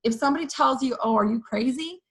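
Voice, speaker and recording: Hoarse, upbeat woman giving a pep talk. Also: sits high, quick pace. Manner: energetic, repeats "okay" and "you guys". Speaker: direct sales team leader.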